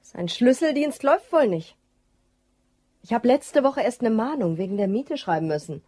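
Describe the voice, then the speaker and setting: An actress playing a cool, suspicious, quietly menacing woman in a German crime radio drama, pointed and dry. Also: fairly high pitch, lively intonation, moderate pace, dry recording. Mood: sardonic, pointed. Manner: pointed, dry, matter-of-fact.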